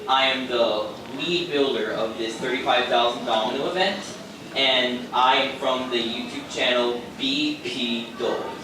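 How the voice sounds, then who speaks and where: A man's voice: mid-range voice, a little slow. A teenage boy from Michigan gives a plain, straightforward introduction at an event. His voice sits in the middle range and comes from a distance, bouncing around a large hall while the crowd murmurs. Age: teens. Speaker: lead domino builder. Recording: echoey hall, distant mic, noisy crowd.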